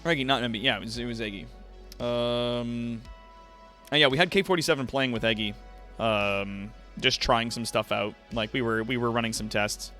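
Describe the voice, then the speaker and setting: A man's voice: medium pitch, normal speed, pushed and bright, soft background music. A man hosting a livestream, chatting casually and directly to viewers with slangy, profane language. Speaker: livestream host and content creator. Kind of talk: casual stream chat.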